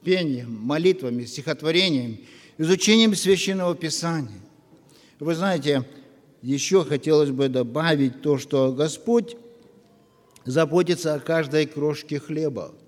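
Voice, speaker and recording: A man preaching a sermon to his congregation, earnest and full of pauses. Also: on the high side. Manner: slow, earnest, with long pauses. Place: church hall. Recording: long reverb of a church hall.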